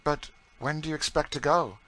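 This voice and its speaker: A man voicing a kindly, composed mistress of a household in an audiobook, speaking in a proper, measured manner. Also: fairly high pitch, steady tone, fairly bright tone, pitched above the performer's own voice, natural voice. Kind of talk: audiobook character dialogue.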